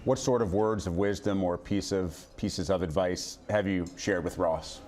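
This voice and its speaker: A male interviewer asking measured, prepared questions. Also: low-pitched, fairly even intonation, darkish tone.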